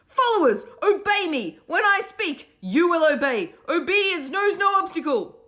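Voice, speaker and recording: A woman voicing a boy who shouts commands at a crowd of children. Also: extremely high pitch, big pitch swings, measured pace, voice pushed up, exaggerated voice. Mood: commanding.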